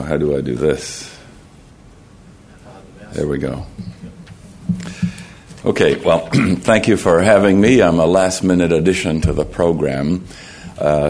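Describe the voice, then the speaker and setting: A man with a Jamaican accent giving a formal talk at a conference podium, measured and halting, often clearing his throat and restarting. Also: a bass voice, darkish tone. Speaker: conference speaker. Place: conference hall.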